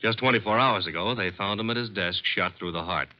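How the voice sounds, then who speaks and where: An actor playing an easygoing, wisecracking detective's assistant in a vintage radio drama, casual and matter-of-fact. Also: male voice, on the low side, brisk, close to an everyday voice. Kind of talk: 1940s-50s radio drama acting. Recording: dull vintage radio recording.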